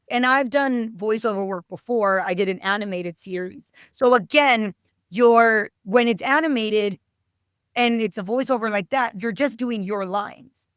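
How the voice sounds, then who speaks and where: A woman's voice: mid-range voice, dry recording, clean studio sound. A woman in her thirties chatting casually as an actor guest in an interview, informal and talking in short chatty bursts.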